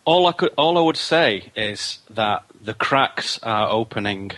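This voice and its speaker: A man speaking as a guest in a radio interview, measured and reflective, often repeating words as he gathers his thoughts. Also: on the low side, expressive, fairly bright tone, low-quality recording. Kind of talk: reflective spiritual interview talk.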